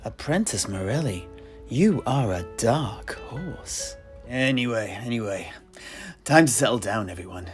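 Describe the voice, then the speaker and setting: A man playing a genial tavern keeper and amateur historian in an audio play, telling his tale in a deliberate, theatrical way with scholarly asides. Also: medium pitch, expressive, soft background music, close to an everyday voice. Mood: chatty, wry. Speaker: storyteller and host of an audio play. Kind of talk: in-universe scripted storytelling to tavern listeners.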